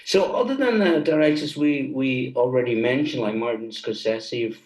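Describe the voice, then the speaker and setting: A man's voice: medium pitch, normal speed, a little mellow. Man in echoey room chatting enthusiastically. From Germany. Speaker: guest, film director and composer. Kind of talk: casual interview conversation. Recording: roomy, echoey sound.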